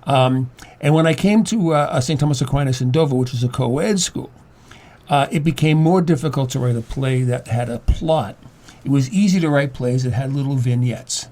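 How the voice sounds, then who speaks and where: An older man talking as a studio guest in an interview, explaining things haltingly with many stammered, repeated words. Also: mid-range voice, mellow. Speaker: studio guest, playwright and former teacher. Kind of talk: conversational interview.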